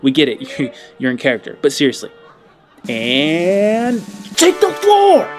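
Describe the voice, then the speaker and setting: A man's voice: fairly high pitch, huge pitch swings, measured pace. A man hosting and narrating a tabletop roleplaying podcast in a casual style. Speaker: host and game master. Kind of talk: casual show introduction and tabletop game narration. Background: music.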